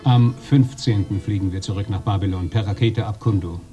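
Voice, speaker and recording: A man's voice: fairly low pitch, a little fast, warm tone, faint music behind, close to an everyday voice. An actor playing a jovial but condescending European trade agent in a radio drama, chummy and swaggering in his colloquial talk. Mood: businesslike, casual.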